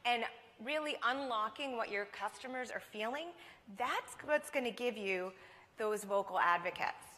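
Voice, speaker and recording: A woman's voice: a little high, moderate pace, bright, forceful tone. A woman from Vermont giving a conference presentation, telling a story in a conversational, upbeat way. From Vermont, USA. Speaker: conference speaker. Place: conference hall. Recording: long hall reverb.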